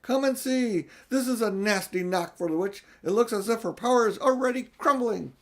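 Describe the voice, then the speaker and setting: A jubilant male beaver from a storybook, acted out by a man reading aloud. He exclaims and calls out in a raised, high pitch, bossy and full of excitement. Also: normal speed. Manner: exclaiming, calling out.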